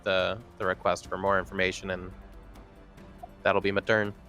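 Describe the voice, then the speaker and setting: Low-voiced man playing a tabletop game. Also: completely flat delivery, normal speed, sharp tone. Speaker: player in a tabletop roleplaying game. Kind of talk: casual game-table chat. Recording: background music under the voice.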